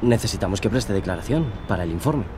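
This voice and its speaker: An actor playing a charming predatory killer who poses as a polite policeman in an audio drama, with a smooth, controlling manner. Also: on the low side, animated, fast, a little mellow, natural voice. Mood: polite, reassuring. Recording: noisy outdoor street and car ambience.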